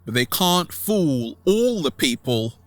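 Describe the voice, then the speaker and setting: Male vlogger, highish voice, very animated intonation, playful motivational monologue, drawn-out emphatic words. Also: no room sound, faint music behind. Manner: theatrical, playful, repeating catchphrases, with drawn-out emphasis. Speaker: vlog host. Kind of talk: motivational monologue to online followers.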